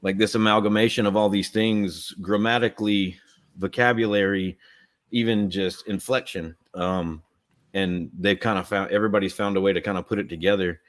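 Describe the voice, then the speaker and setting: A man's voice: on the low side, fairly even intonation, moderate pace. A man, chatting casually and rambling on a livestream about a comic he co-created. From Southern US. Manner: rambling, heavy use of "like".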